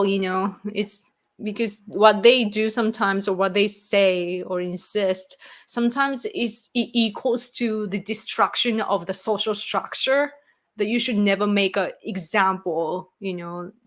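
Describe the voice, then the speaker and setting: Slow-talking woman streamer. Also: mid-range voice. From Japan. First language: Japanese. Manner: rambling, many fillers and restarts, reads chat aloud. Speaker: live streamer. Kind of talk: live stream Q&A chat.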